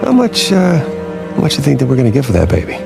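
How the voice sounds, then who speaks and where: An actor playing a roguish treasure hunter in a video game, casual, sardonic and joking. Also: male voice, medium pitch, wildly swooping pitch, fast, gravelly, full character voice. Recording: music behind the dialogue.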